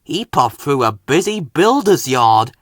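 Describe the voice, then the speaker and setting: A man reading a children's story as narrator, in a measured, expressive way. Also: fairly high pitch, no echo, clean studio sound. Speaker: storybook reader.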